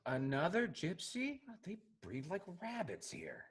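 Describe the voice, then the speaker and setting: A man playing a sardonic, hapless grumbling gentleman in a theatrical period comedy, with a raspy voice. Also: on the high side, huge pitch swings, unhurried, exaggerated voice. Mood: disdainful.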